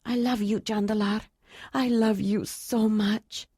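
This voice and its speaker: A woman voicing an emotional young woman in an audiobook, raising her voice into a higher, heartfelt tone. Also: little pitch movement, slow, warm tone, breathy edge, no room sound, clean studio sound. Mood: tearful.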